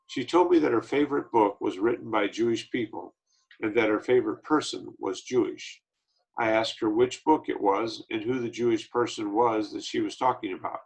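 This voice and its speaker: A man reading a memoir aloud, slow and measured with small slips. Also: lowish voice, creaky, slightly rough, rough recording. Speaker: reader of an autobiography.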